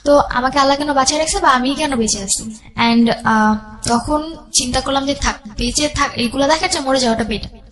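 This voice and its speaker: A young woman telling a personal life story as a guest, haltingly, repeating herself and mixing in English words. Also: high voice, low-quality recording.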